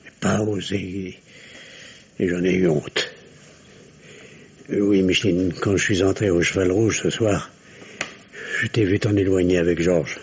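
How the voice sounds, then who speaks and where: A middle-aged man playing a solemn, shamed doctor and father with halting speech in a French radio drama. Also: deep voice, fairly even intonation. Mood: ashamed, tender. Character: shamed, confessing alcoholic doctor, father and son. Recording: dull radio recording, faint noise.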